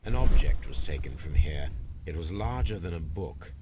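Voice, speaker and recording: Victorian English detective, low voice, composed, analytical, video game drama, noisy ambient backdrop. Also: male voice, lively intonation. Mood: musing, observant. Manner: measured, precise, analytical. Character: keen, composed gentleman detective, male, Victorian London. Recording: clear background noise and ambience.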